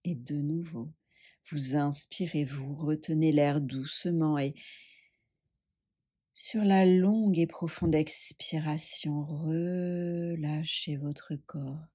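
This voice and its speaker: A woman leading a guided yoga nidra meditation, speaking gently and soothingly with long pauses. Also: deep voice, steady tone, breathy edge.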